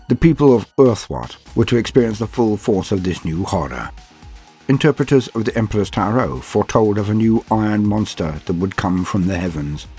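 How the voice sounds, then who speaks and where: A man reading scripted dark fantasy lore as a narrator, in a grave, dramatic and measured way. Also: fairly low pitch, slightly rough.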